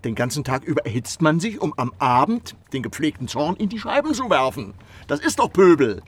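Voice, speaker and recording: An actor playing a pompous, easily scandalised older privy councillor who interjects and drifts off in a German radio play. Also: male voice, on the high side, sing-song, a little fast, breathy edge. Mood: indignant.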